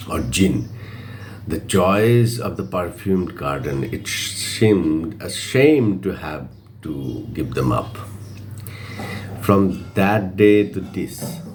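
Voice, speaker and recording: A man reading a literary essay aloud in measured phrases, with frequent pauses between them. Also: deep voice, darkish tone. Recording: faint background noise, amateur video reading.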